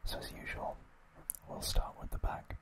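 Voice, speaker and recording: A man hosting an ASMR video, whispering trivia in a hushed, deliberate manner with pauses.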